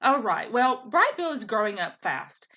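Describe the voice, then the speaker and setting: Woman voicing a lively, reassuring goose. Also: a little high, a little slow, highs cut off, heavily acted. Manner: casual, reassuring. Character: reassuring goose friend giving parenting advice.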